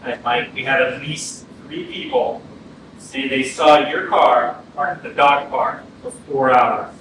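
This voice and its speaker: An actor playing a blunt, seasoned police detective in a staged reading, speaking sternly and deliberately with some swearing. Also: male voice, mid-range voice, steady tone, natural voice. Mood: pressing. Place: indoor arts centre stage, staged reading. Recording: echoey theatre from far off, noisy.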